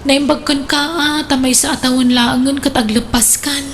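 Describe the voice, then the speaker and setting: A woman's voice: sits high, steady tone, normal speed, slight background noise. A woman playing an anxious young female student in an Ilocano radio drama. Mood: pleading, resigned.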